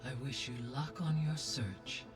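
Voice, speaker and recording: Calm wise lady ai in a video game, formal n precise, very composed, bit of music behind. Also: extremely low pitch, measured pace. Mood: calm, supportive. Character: serene, wise female artificial intelligence, formal and analytical. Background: faint music behind the voice.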